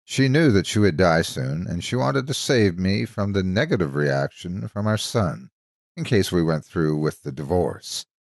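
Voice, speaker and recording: A man narrating from a script as a storyteller, with a measured, expressive and dramatic delivery. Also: sits low, gravelly, no echo, studio quality. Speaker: story narrator and channel host.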